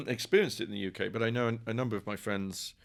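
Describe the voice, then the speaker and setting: Low, creaky English man. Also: lively intonation, fast. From England. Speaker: professor, podcast guest. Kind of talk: conversational opinion interview.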